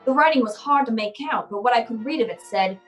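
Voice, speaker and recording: A woman's voice: fairly high pitch, quick pace, faint music behind, natural voice. A woman playing a cynical, wry private eye, curt and hardboiled, in an old-time radio noir drama. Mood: wry narrating.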